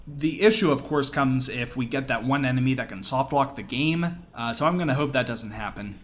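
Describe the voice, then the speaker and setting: A man's voice: mid-range voice, a little fast. A man giving casual live commentary on a video game speedrun, explaining things as he plays. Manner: explanatory, talking through the run as it happens. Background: video game music.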